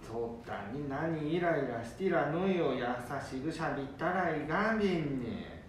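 A man's voice: sing-song, moderate pace, a little hiss or hum. A man playing a stubborn, hard-of-hearing old grandfather with a Nanbu dialect in an amateur radio drama. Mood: puzzled, chiding. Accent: Nanbu dialect, northern Tohoku. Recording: very echoey, distant, rumbly.

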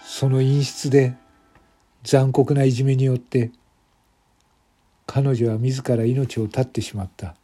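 A man narrates a radio drama in the first person. His voice is dark and mellow at a middling pitch, brooding and solemn, with music playing behind him. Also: little pitch movement, unhurried, long pauses.

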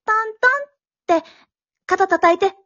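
A woman's voice: extremely high pitch, steady tone, a little slow, fairly bright tone, lo-fi sound. An actress playing an eccentric female manners instructor who talks in an earnest, dramatic way and rambles to herself. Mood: fretful. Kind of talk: comic amateur radio drama.

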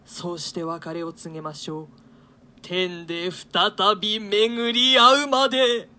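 Woman as a grave condemned nobleman, slow and declamatory. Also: lowish voice, little pitch movement, sharp tone, a little hiss or hum. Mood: solemn, imploring. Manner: declamatory, solemn. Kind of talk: theatrical reading of archaic translated Shakespeare.

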